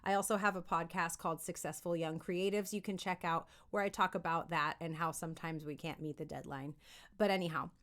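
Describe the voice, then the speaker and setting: A woman giving an upbeat, conversational lesson as a voice acting coach, animated and encouraging, often checking in with listeners. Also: lowish voice, a little fast. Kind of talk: upbeat instructional talk to camera.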